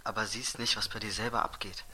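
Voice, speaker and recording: A man's voice: lowish voice, level tone, quick pace, bright, forceful tone. An actor playing a blunt but caring male best friend in a naturalistic audio drama, frank and understated. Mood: guarded, reproachful. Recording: noisy background.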